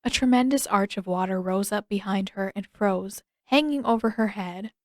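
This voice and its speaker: A woman's voice: mid-range voice, normal speed, no room sound. A woman reading scripted fiction as an audiobook narrator.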